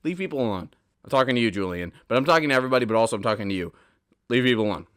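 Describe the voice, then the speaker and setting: A man's voice: mid-range voice, animated, very fast, a bit bright, clear, resonant tone. Casual, rambling young man, slightly stuffy nose. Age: 20s. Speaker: podcast host. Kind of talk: casual rambling film talk, solo monologue.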